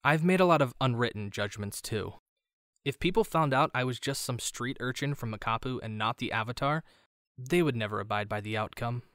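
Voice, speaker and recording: A man playing a kind, earnest teenage boy in an animated fan drama, with a gentle, playful, conversational manner. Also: mid-range voice, a little fast, no room sound, studio quality, close to an everyday voice. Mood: sincere. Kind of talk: fan visual novel voice acting.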